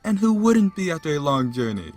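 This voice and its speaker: A man's voice: very animated, brisk, a little mellow, soft background music. A man playing a big, easygoing, jovial god in an audio drama, with a hearty, teasing manner. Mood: relaxed, mellow. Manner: booming, laughing, leisurely teasing.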